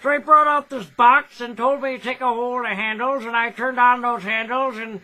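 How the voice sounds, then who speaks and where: A man's voice: very high voice, steady tone, normal speed, pushed and bright, pitched above the performer's own voice, heavily acted, rough recording. A man playing a folksy, gullible old farmer with a rural American accent, telling a hearty old-time phonograph comedy monologue. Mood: excited, amused.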